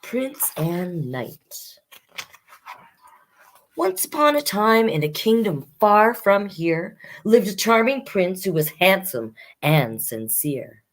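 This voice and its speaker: A woman's voice: medium pitch, sing-song, takes it slowly. A woman hosting a children's storytime, reading picture books expressively with chatty asides to young listeners.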